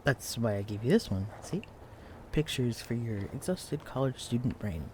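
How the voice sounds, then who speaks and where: A young man playing a shy, clumsy, bookish librarian in an intimate audio roleplay, speaking in a hesitant, stammering, hushed way. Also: mid-range voice, animated, moderate pace, background noise, close to an everyday voice. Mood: shy, warm. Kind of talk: intimate audio roleplay acting addressed to the listener. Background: quiet library ambience.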